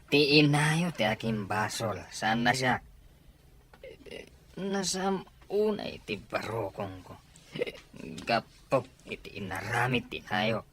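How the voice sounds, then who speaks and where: A man playing a drunken, irresponsible middle-aged father in a radio drama, with slurred, halting, groaning speech. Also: medium pitch, big pitch swings, a bit bright, slight background noise. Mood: defensive, aggrieved. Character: drunken, irresponsible, ailing father of several children. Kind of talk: Ilocano radio drama acting.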